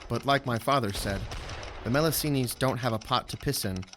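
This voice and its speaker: A young army captain played by a man in an audio drama, speaking at a middling pitch. He is respectful, candid and earnest, with faint noise in the background. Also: brisk, fairly bright tone, natural voice. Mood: earnest, deferential. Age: young adult.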